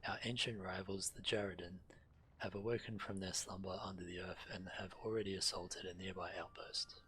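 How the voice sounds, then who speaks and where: A man who streams games, reading fantasy quest dialogue aloud in character with a measured storyteller delivery. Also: deep voice, fairly even intonation, fairly bright tone, poor audio. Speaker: game streamer.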